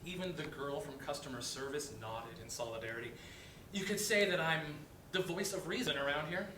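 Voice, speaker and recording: A man's voice: a little fast, sharp tone. A man playing a wry, outspoken office worker in a dramatic reading of a play, speaking in a conversational, emphatic way. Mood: wry, amused. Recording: echoey room, distant mic, rumbling noise.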